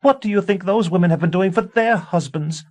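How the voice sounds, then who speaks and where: A man reading an audiobook, voicing a frantic young wife who secretly practices witchcraft, shrill as she pleads and accuses. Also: moderate pace, darkish tone. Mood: shrill, accusing.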